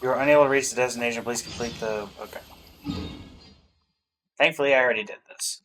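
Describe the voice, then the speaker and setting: A man's voice: mid-range voice, fairly even intonation, fast talker, a bit bright. A man commentating casually on a video game, musing aloud and reading bits of on-screen text. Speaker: gaming streamer reacting to a story quest. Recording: faint game music behind.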